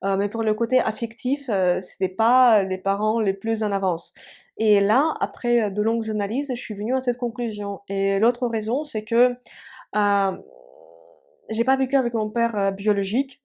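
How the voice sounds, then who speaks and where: A woman in her thirties, a native Russian speaker, talks her students through an online lesson in a chatty, unhurried way. Her voice is mellow and warm, and she often pauses with little fillers while she thinks. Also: medium pitch, steady tone. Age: 30s. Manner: chatty, hesitant with many "euh" fillers. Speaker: Russian teacher. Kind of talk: casual explanatory online lesson.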